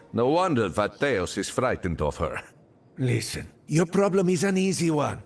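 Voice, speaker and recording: A man's voice: medium pitch, gravelly, a little hiss or hum. An actor playing a self-serving desert rogue in a video game, with wheedling, theatrical, salesman-like patter. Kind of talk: video game character acting.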